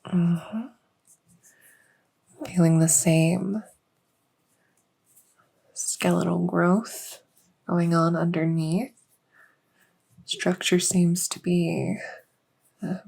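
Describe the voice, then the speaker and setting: A woman playing a gentle fae doctor in a soft-spoken ASMR medical roleplay, reassuring and unhurried, sometimes slipping toward a whisper. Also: lowish voice, fairly even intonation, creaky, slightly rough, exaggerated voice. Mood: soft, pleased. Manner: unhurried, reassuring, hesitant pauses. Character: gentle female fae doctor with buggy wings and scaly tail. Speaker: audio roleplay performer.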